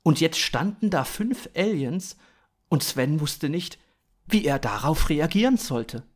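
A man in his thirties narrating a scripted science fiction story in an expressive, dramatic storytelling manner. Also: a little high, unhurried. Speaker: author-narrator of a story podcast.

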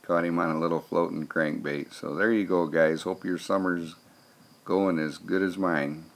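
A man's voice: low voice, steady tone, normal speed, gravelly. A man, narrating casually in a plainspoken, folksy way. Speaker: vlogger narrating fishing trip.

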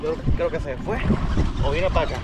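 A man with a Puerto Rican accent giving casual, excitable fishing commentary to viewers from a kayak at sea. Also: fairly high pitch, fairly quick. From Puerto Rico. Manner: excitable, exclaiming, talking to viewers while fishing. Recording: loud wind, water and boat noise. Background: open water and boat noise.

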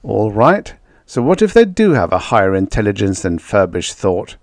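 A man's voice: on the low side, sing-song, moderate pace, no echo, clean studio sound. A man voicing skeptical, exasperated men of a space expedition in a story, questioning and grudging.

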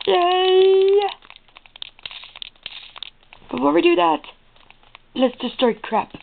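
Raspy, creaky high-pitched let's player, casual gameplay commentary. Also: animated, slow. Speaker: let's play host. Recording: muffled phone-like audio, clear noise, clicks.

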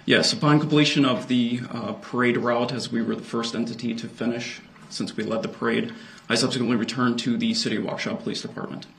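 An American man in his thirties giving precise, measured, formal testimony as a police officer. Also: mid-range voice, steady tone, gravelly, a bit bright. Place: indoor courtroom witness stand. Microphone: stand microphone before him. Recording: courtroom microphone with faint noise.

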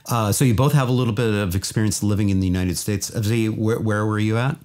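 Host: middle-aged man, deep voice, upbeat and welcoming. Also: fairly even intonation, fast, a little mellow. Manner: upbeat, enthusiastic. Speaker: podcast host. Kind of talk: podcast interview.

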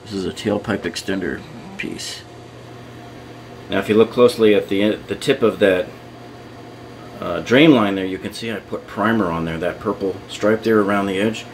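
A man's voice: fairly low pitch, moderate pace, a little hiss or hum, rough recording. A man narrating a hands-on bathroom repair how-to, explaining each step in a practical way with casual fillers. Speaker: DIY remodeling host. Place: home bathroom, indoors.

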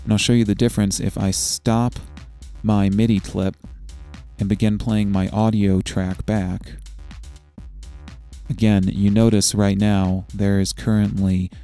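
Man, software instructor, mellow dark tone, fry-laden voice, methodical explanations, screencast tutorial narration. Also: on the low side, flat delivery, unhurried, long pauses, faint music behind.

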